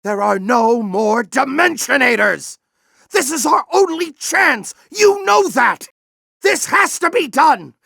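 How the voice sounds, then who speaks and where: A man playing a grizzled older alien warrior general in a video game, with a gruff, commanding, theatrical delivery and a fanatical intensity. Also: extremely high pitch, expressive, slow pace, pushed and bright, no room sound, clean studio sound, exaggerated voice. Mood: desperate, furious. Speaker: military commander and mentor. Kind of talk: video game voice acting, combat barks and dramatic speeches.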